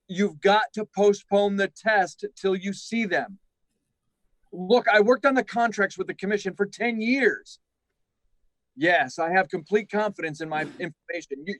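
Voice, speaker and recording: Male actor as earnest man in charge, higher-pitched, urgent and emphatic, dry sound, classic sci-fi radio drama. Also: dry recording, close to an everyday voice, rough recording. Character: earnest, authoritative male official handling a crisis. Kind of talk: 1950s radio drama acting.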